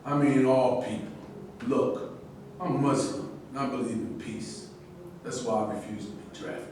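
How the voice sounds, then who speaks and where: A man's voice: mid-range voice, unhurried, darkish tone, lightly acted. A man acting a defiant champion boxer, echoey room. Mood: earnest. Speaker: actor in a staged reading. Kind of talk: staged play reading. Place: echoey indoor room at a staged reading. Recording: distant, very echoey room with noise.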